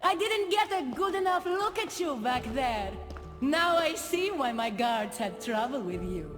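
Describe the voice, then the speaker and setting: Silky regal goddess queen for a game, high voice, big long reverb, poised and possessive. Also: sing-song, a little slow. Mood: coolly curious. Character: regal goddess queen of a paradise realm, silkily gracious. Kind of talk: video game cutscene acting. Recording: long reverb, music behind.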